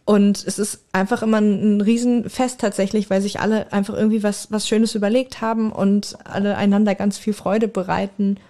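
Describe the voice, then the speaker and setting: A woman's voice: mid-range voice, level tone, brisk, hardly any pauses, dark tone. A woman chatting casually as a guest on a podcast. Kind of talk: casual chat.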